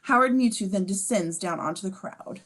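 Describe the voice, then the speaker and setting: A woman's voice: medium pitch, fairly quick, fairly bright tone. A woman reading stage directions in an amateur table read, casual and sardonic, dropping in asides.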